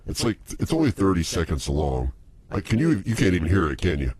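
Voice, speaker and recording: A man's voice: deep voice, big pitch swings, a little fast, a little mellow, slightly rough, no echo. A man co-hosting a show in casual, comic banter. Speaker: co-host running music and clips.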